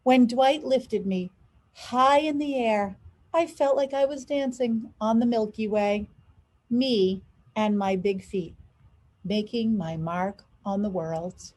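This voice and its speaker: A woman with a fairly high voice reads a children's story aloud. Her narration is expressive, and she stretches key words with deliberate emphasis. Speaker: school superintendent reading a children's story aloud.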